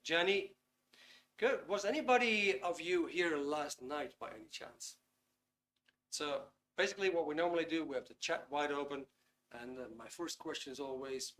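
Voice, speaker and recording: A Dutch man hosts a live online workshop over a computer mic. His voice sits a little high for a man. He talks in a loose, friendly way and fills his pauses with ums. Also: a bit bright. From Netherlands. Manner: chatty, reads out chat comments, frequent ums. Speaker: webinar host. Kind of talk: casual live webinar talk.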